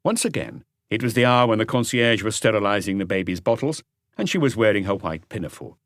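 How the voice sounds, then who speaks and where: A man reading a crime novel as an audiobook, in measured, articulate scripted narration. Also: fairly low pitch, expressive, no room sound, clean studio sound.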